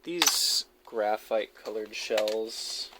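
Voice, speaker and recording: A man giving casual, unscripted show-and-tell commentary in a low-key, halting way, as if musing to himself. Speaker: hobbyist video creator showing a cassette collection. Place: basement, indoors. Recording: noisy amateur audio with clicks and handling noise.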